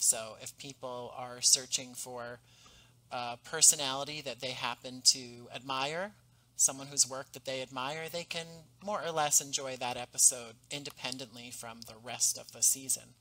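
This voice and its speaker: A man in his thirties, a podcast guest, speaking in a measured, articulate way over a headset mic on a video call. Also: medium pitch, bright, forceful tone, low-quality recording. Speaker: podcast guest, audio drama writer. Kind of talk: casual interview talk. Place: home room with painting behind.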